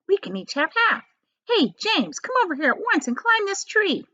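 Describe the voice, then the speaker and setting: A woman's voice: very high voice, big pitch swings, normal speed, bright, forceful tone, lo-fi sound, voice pushed up, exaggerated voice. A woman voicing a fat, greedy storybook aunt, bossy and scornful, in a demanding, exclamatory way.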